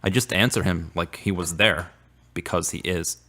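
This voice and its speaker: A man playing an earnest, devout young man in a radio drama, with measured, formal, preachy phrasing. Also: sits low, steady tone, sharp tone. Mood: gentle, earnest.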